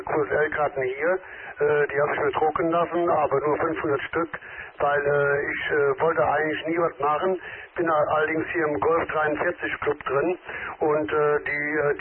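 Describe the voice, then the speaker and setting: A man chatting on an amateur radio contact, polite and hesitant, filling his sentences with many filler sounds. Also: fairly high pitch. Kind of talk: casual radio contact chat. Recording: tinny radio transmission with crowd behind.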